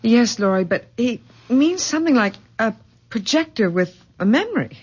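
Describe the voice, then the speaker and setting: An actress playing a gently insistent grown daughter in a radio ghost mystery, with a husky voice and an emphatic, dramatic delivery. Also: female voice, on the high side, big pitch swings, normal speed. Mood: grave, insistent. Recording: muffled vintage radio drama recording.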